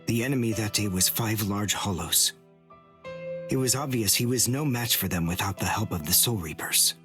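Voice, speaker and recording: Actor as a low-voiced, brooding young man. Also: moderate pace, lightly acted. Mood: bitter recounting. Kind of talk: English anime game dub, dramatic monologue. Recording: loud music behind the voice.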